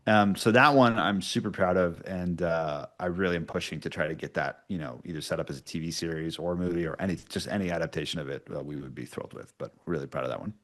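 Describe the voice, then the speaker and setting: A man's voice: low-pitched, quick pace, few pauses, a bit bright. A man speaking informally and a little self-deprecatingly as a guest writer-producer in a casual interview. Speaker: guest writer-producer of podcast audio dramas.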